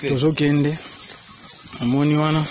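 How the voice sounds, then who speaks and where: A man's voice: a little high, flat delivery, a little slow, a loud crowd. A man speaking as a soldier in a firm, declaring field statement outdoors in the bush. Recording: noisy outdoor field recording.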